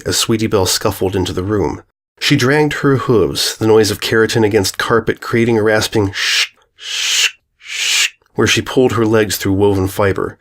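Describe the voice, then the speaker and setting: A man narrating a scripted story as an audiobook reader, in a measured, dramatic storytelling manner. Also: fairly low pitch.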